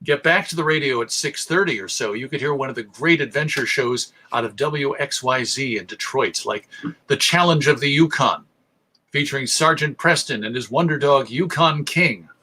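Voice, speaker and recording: A man's voice: mid-range voice, normal speed, sharp tone, a little hiss or hum, low-quality recording. A middle-aged American man giving a history lecture as presenter, articulate, with storytelling emphasis. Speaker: presenter, radio show host.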